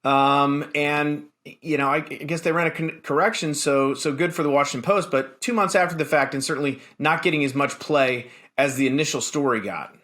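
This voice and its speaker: American male talk host, slightly high voice, halting but emphatic conversational commentary. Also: bright, forceful tone. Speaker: political talk show host.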